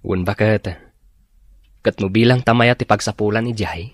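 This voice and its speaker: A man acts out an easygoing husband in a radio drama, speaking in a low voice. The sound is dry and close, and he comes across as reassuring. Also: normal speed, no echo, lightly acted. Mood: calm, reassuring. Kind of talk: Ilocano radio drama acting.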